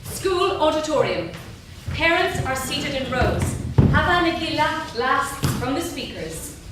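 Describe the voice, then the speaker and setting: A woman's voice: high voice, very animated, unhurried. A woman narrating stage directions at a live staged script reading. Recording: echoey theatre, far mic, noisy.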